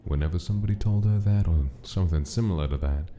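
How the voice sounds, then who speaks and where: A man's voice: sits low, fast, crowd noise, natural voice. A man reading scripted story narration as the narrator of a drama. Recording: soft music under narration. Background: background music.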